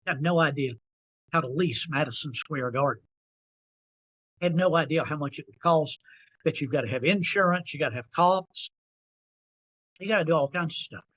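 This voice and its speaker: A man in his sixties with a Southern US accent, a podcast guest telling stories in an animated, self-deprecating way. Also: a little high, normal speed, long pauses, low-quality recording. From North Carolina. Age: 60s. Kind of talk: storytelling interview.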